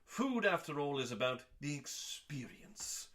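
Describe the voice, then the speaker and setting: A man giving a dramatic, mock-serious scripted reading as a host, pompous, with long pauses and drawn-out emphasis on words. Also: a little high, very animated, a bit bright. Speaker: host reading aloud from a cookbook.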